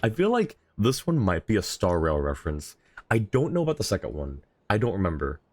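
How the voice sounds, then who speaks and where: A man's voice: lowish voice, huge pitch swings, brisk, darkish tone, slightly rough. A man doing casual, jokey live commentary as a game streamer, reacting aloud as he plays. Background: game music.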